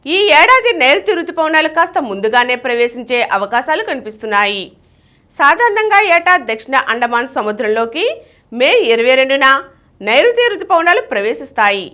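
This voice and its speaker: High-pitched, lively woman news reader. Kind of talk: scripted news bulletin reading.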